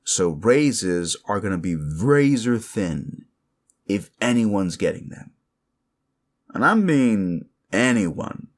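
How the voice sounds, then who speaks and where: A man's voice: lowish voice, big pitch swings, slow pace, exaggerated voice. A man playing a sleazy, bullying werewolf office boss in an audio roleplay, condescending, cajoling and foul-mouthed as he berates the listener. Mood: condescending, exasperated. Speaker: voice actor performing a roleplay.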